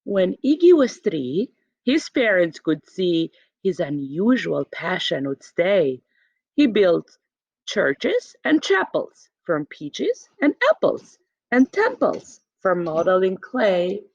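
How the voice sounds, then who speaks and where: A woman reading a children's picture book aloud expressively, with dramatic pauses and emphasis. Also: fairly low pitch, big pitch swings, no room sound. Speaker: storybook reader. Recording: dull, muffled home recording.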